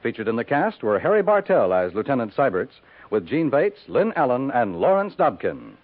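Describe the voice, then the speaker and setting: Tinny vintage male radio announcer, lively intonation. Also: medium pitch, moderate pace, noisy background. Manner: formal, emphatic, polished. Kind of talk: 1950s radio announcing, scripted. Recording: tinny 1950s radio recording with music.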